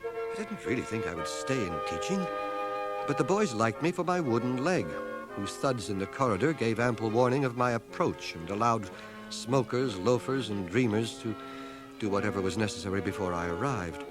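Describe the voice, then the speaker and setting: A man's voice: lowish voice, expressive, normal speed, over music, close to an everyday voice. An actor playing a learned schoolmaster and war veteran, narrating his life in a radio drama with dry, literate irony. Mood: wry recollection. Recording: 1980 radio drama cassette transfer.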